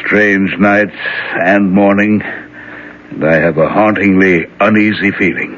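An actor playing a worried reverend and father in a 1970s radio drama, speaking in a halting, hesitant way. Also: low voice, steady tone, fairly bright tone, a little hiss or hum. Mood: uneasy. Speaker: reverend character. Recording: lo-fi vintage radio broadcast.

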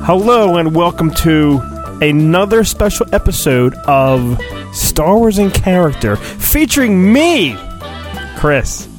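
Animated, slow-paced man, playfully mock-dramatic. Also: sits high, faint music behind. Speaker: podcast host. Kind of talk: casual, dramatic read-aloud of a children's storybook.

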